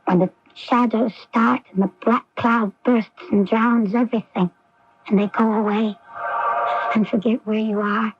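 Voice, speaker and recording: Female actor for fragile, haunted young daughter in 1980s radio play, high childlike voice, plaintive, dull AM sound. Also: fairly even intonation, a little slow, natural voice. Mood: despairing, haunted. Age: young adult. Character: fragile, troubled, ailing young daughter, fearful of abandonment. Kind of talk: 1980s radio drama acting. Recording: noisy, dull lo-fi AM radio recording.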